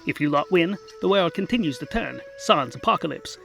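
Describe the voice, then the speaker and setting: A man playing a male vampire in a comic fantasy audio story, with a fairly high voice and slurred words. He sounds entitled, casual and officious at once, over background music. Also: expressive, fairly quick, creaky, bright, forceful tone, full character voice. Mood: smug, casual. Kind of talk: fantasy comedy audio drama narration.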